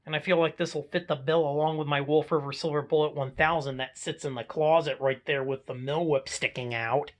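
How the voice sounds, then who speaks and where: An American man with a somewhat high voice presents as an amateur hobbyist. He talks casually, in the manner of a homemade vlog walkthrough of his own gear. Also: steady tone, fairly quick, few pauses.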